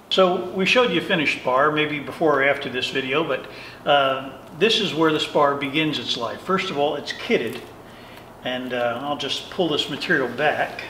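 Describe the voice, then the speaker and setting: A man in his sixties giving an unscripted technical shop tour, often pausing mid-phrase as he explains. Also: medium pitch, pushed and bright. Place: large indoor workshop and hangar. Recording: roomy workshop echo, faint noise.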